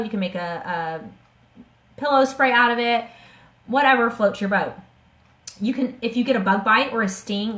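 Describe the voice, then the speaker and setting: A hoarse woman chatting eagerly to her viewers on a live stream, her voice at an ordinary middle pitch. She trips over her words and restarts often. The sound rings in an echoey room. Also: a bit bright. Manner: enthusiastic, chatty, many restarts and fillers. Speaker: essential oils seller hosting a webinar. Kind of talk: casual live-stream sales talk.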